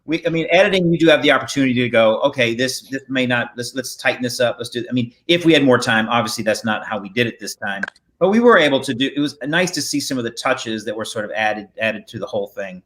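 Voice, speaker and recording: A middle-aged man with a mid-range voice hosts a casual panel over a video call. He talks in a halting way, circling back and rephrasing as he goes. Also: medium pitch, fairly bright tone. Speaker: host and theater director. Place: indoor room on a video call. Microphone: video call microphone.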